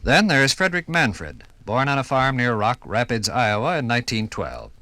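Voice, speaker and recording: An American man narrating a scripted 1950s radio documentary with formal, measured, dramatic phrasing. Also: mid-range voice, very animated, bright, forceful tone, no room sound.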